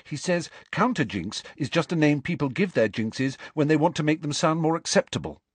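A man's voice: a little high, animated, a little fast, breathy edge, no echo, voice pushed up. A man voicing an earnest, assertive, bookish teenage schoolgirl who speaks promptly and carryingly in a storybook. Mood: prompt, confident.

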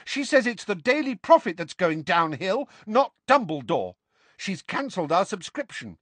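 A man's voice: high voice, sing-song, normal speed, a bit bright, no room sound, voice pushed up, full character voice. A man voicing a simple, earnest, loyal teenage schoolboy who pipes up plainly, in an audiobook. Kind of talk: audiobook character voice.

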